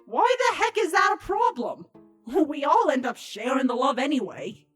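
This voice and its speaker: A woman voicing a young changeling spy, cocky, excitable and defensive, pleading her case in a comic fantasy audio drama. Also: high voice, normal speed, soft background music. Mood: hopeful, flippant. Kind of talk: dramatic comedy fan fiction reading.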